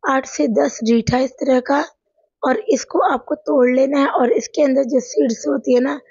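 Hoarse lady talking her viewers through a hair remedy, high but mellow voice, dry flat-sounding mic. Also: a little slow, no room sound, lo-fi sound. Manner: chatty, addresses viewers as friends. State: hoarse from a sore throat. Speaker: cooking and home remedy channel host. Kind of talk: voice-over narration of a home remedy demo. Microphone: voice-over recorded apart from the video.

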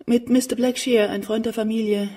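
An actress playing a genteel, brittle older widowed mother in a German radio play, with a husky voice. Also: on the high side, steady tone, fairly quick, mellow, far from the mic. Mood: eagerly sociable.

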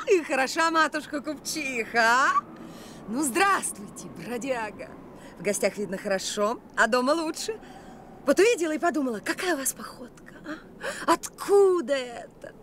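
An actress in a Soviet radio play, playing an impulsive, teasing young woman in love with theatrical, breathy delivery. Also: sits high, a bit bright. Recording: faint noise, old radio recording.

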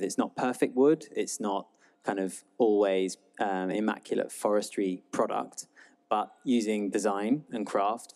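A man's voice: lowish voice, darkish tone. A man in his thirties answering on a stage panel, thoughtful and gesturing, into a handheld mic. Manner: thoughtful, gestures while talking. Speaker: furniture designer panelist. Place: stage in a large hall.

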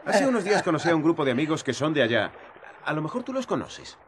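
Earnest young villager, highish voice, very animated, radio drama, faint noise. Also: male voice, fast talker. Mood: friendly. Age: young adult. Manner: hesitant, earnest, expressive. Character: earnest, friendly young peasant man from the countryside.

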